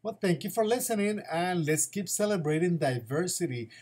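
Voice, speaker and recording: A man's voice: high voice, lively intonation, normal speed, a little mellow, soft background music. A man, a school principal, giving morning announcements in an upbeat, encouraging way and repeating his advice to students.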